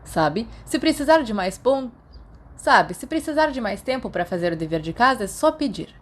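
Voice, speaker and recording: A man's voice: extremely high pitch, sing-song, moderate pace, pitched above the performer's own voice, full character voice. Lady reading an upbeat older guy professor in a storybook, kind, teasing, caring. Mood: kindly. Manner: warm, teasing, concerned. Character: cheerful, kindly, energetic older male magic-school professor.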